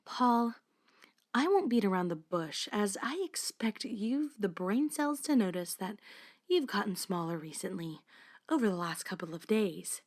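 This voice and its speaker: Woman playing a poised schoolgirl with lively intonation. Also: medium pitch, unhurried. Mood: concerned, confiding. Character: poised, caring teenage girl, school club president. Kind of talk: fan visual novel voice acting.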